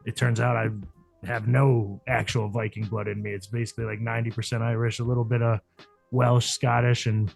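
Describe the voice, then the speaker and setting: Gravelly, flat-voiced podcast host. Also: male voice, mid-range voice, fairly quick, faint music behind. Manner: loose, swears casually, lots of fillers like "like". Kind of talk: casual podcast chat.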